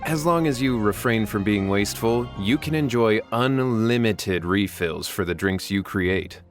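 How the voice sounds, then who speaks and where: Guy doing a polite tavern boss, kinda low voice, smooth and inviting. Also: a little slow, soft background music, natural voice. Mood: welcoming. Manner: courteous, welcoming, persuasive. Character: polite adult male tavern manager. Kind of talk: game cutscene voice acting.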